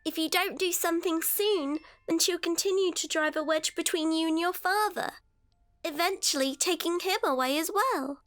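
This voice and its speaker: A woman's voice: extremely high pitch, normal speed, fairly bright tone, dry recording, faint music behind. A woman voicing a manipulative, coaxing little-girl doll in a horror radio drama. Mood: poisonous, scheming. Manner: coaxing, insinuating.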